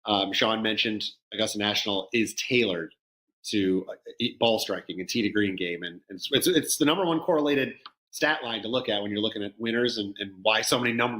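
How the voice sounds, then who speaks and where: A man's voice: on the low side, a little fast, fairly bright tone, rough recording. A man earnestly breaking down golf betting odds in casual chat, often restarting his sentences.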